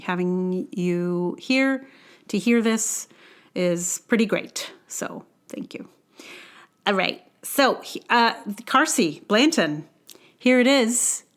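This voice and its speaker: A woman's voice: medium pitch, animated, slow pace. A woman hosting a podcast, chatting casually and informally, hesitating and interrupting herself as she goes. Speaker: podcast host.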